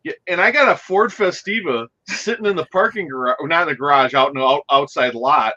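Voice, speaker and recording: A man's voice: on the high side, fairly quick, bright, forceful tone, muffled recording. A man telling wry, joking stories in casual chat. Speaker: co-host.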